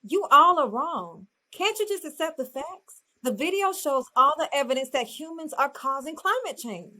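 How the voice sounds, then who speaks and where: A woman's voice: high-pitched, sing-song, normal speed, bright, forceful tone, heavily acted. A woman playing an indignant, argumentative teenage girl student in a classroom drama, speaking in an exasperated, combative way.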